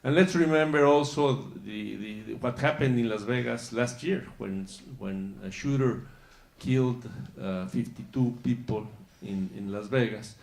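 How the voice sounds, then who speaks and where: A man from Mexico answering questions on a conference panel, speaking haltingly with many pauses. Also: medium pitch, creaky, a little mellow. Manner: halting, many uh fillers and restarts. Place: conference hall. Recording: roomy conference hall.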